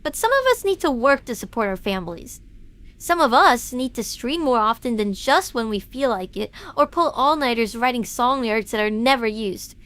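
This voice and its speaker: A woman's voice: on the high side, expressive, normal speed, a bit bright. A woman playing a defensive young detective streamer in an audio drama, with a stern, pointed way of talking. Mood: bitter, stern.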